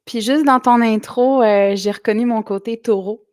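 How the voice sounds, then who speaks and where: A woman in her forties, born in southwestern France, speaks as a podcast guest with an accent mixed with Québécois traces. She talks in a chatty, laughing way with a fairly high voice. The studio sound is close and dry. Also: steady tone, moderate pace, darkish tone, no echo. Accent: French with Québécois traces. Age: 40s. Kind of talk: casual interview chat. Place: small studio.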